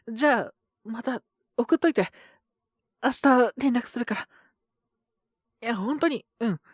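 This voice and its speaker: Woman voicing a slow, weary, sick young male teacher. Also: on the high side, breathy edge, no room sound, clean studio sound. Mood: flustered. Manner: hesitant, mumbling, trailing off with many pauses. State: feverish, weak, coughing. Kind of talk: Japanese boys' love situation voice drama.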